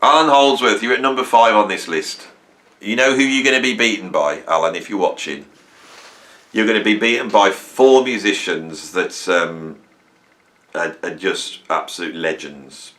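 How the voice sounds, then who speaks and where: Bright-voiced man, rambling fan talk. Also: lowish voice, normal speed. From Britain. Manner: enthusiastic, self-mocking, rambling with restarts. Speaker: music channel host. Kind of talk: casual monologue to camera.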